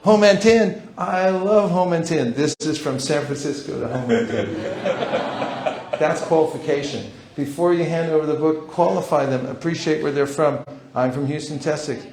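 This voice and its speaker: A man's voice: on the high side, normal speed, darkish tone. A man leading a live seminar, teaching with a playful manner and acting out short dialogues. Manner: playful, acts out little dialogues. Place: large hall. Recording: roomy hall sound.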